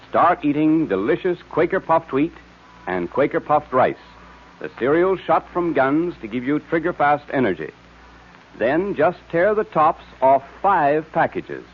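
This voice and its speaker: A man announcing and pitching for a sponsor on 1940s radio in a hearty, emphatic way. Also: husky. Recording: muffled vintage radio recording.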